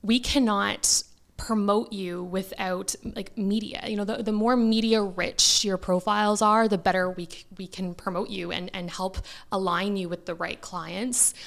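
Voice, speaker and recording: A woman's voice: medium pitch, fairly even intonation, a little slow, vocal fry. A Canadian woman chatting casually as an interview guest, telling things in a chatty way with quoted asides. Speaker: talent agent, interview guest.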